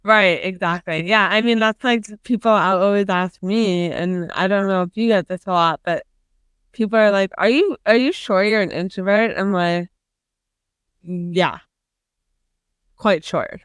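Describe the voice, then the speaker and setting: A woman co-hosting a podcast in casual chat, quick and joking, laughing often at her own lines. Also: medium pitch, smooth, no room sound. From New York. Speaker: podcast co-host.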